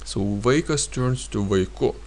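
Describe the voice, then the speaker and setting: A man teaching a grammar lesson in a hesitant way, pausing often and repeating each example sentence. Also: fairly low pitch. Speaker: language teacher.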